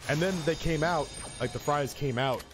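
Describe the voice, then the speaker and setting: A man streaming games who tells comic stories casually, in an animated, exaggerating and profane way. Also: fairly high pitch, moderate pace, background noise. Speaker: game streamer. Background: music in the background.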